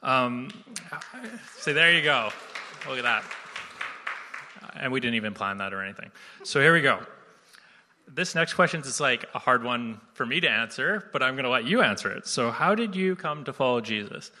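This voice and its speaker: A man hosts a relaxed church interview, sounding casual, upbeat and a bit joking. His tone is very bright and sharp, and he speaks into a handheld mic. The sanctuary's long reverb trails behind his voice. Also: mid-range voice, moderate pace, pauses often.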